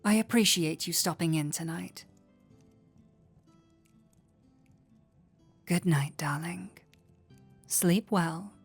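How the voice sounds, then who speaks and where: A woman playing a flirty, warm-hearted tavern innkeeper in an intimate roleplay audio drama, teasing coyly and playfully. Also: lowish voice, breathy edge, soft background music. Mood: tender, soft. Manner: coy, playful, unhurried teasing.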